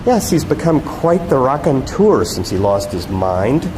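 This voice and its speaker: A man's voice: medium pitch, big pitch swings, unhurried. A man reading the part of a guarded, acidly polite woman lawyer and politician in a novel. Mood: acid, tight. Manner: clipped, formal, acidly polite. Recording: echoey hall, loud background noise.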